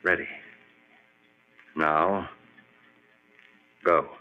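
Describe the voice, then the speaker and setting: A man's voice: a bass voice, fairly even intonation, very slow, soft background music, heavily acted. A man acting as a driven, defiant scientist in an old-time radio horror play, urgent and commanding, giving clipped orders. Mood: tense, commanding. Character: driven, defiant scientist husband who defies death. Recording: muffled, noisy old radio recording with hum.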